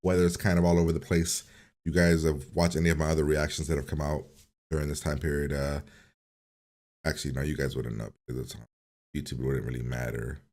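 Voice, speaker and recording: A man with a very deep, low voice, a little nasal from a cold he has. He rambles along easily in a casual reaction video, full of little fillers and restarts. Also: little pitch movement, fast talker, a little mellow. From upstate New York. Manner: rambling, easygoing, with filler words. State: sick with a cold, slightly nasal. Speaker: reaction channel host.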